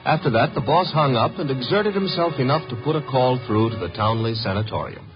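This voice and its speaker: A man's voice: mid-range voice, animated, a little fast, music in the background, lightly acted. An actor playing a detective's wisecracking legman in a 1950s radio drama, breezy, sardonic and colloquial. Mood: sardonic narrating. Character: wisecracking detective's legman, narrating his own case. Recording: muffled vintage radio recording.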